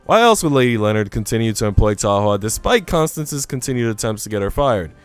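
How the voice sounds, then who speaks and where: A man's voice: on the low side, big pitch swings, brisk, clean tone, faint music behind. A man voicing a haughty young woman from a visual novel, self-assured and condescending. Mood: smug, scornful. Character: haughty, dismissive young woman, quick to judge.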